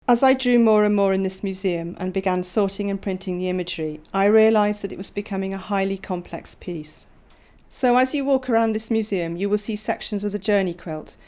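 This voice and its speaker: A middle-aged woman from Wales reads a scripted voice-over in a calm, measured way. Her tone stays reflective and unhurried, as an artist talking through her own pieces. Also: mid-range voice.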